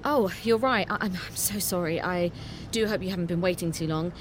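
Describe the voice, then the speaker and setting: A woman's voice: fairly low pitch, brisk, a little hiss or hum, lightly acted. An actress playing a meek, eager-to-please young woman in an audio drama, hesitant, polite and self-deprecating. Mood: apologetic. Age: young adult.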